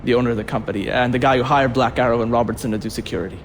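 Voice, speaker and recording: An actor playing a nervous, cooperative research engineer in a video game, explaining things hesitantly and a little wryly. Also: male voice, medium pitch, steady tone, racing, a little hiss or hum, lightly acted. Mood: uneasy, explaining. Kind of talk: video game cutscene acting.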